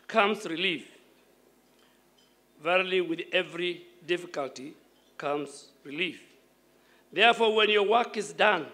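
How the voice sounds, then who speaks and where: A man's voice: high voice, bright, forceful tone. A man giving a solemn religious recitation in an emphatic, declamatory manner. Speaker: reciter of scripture at a prayer event.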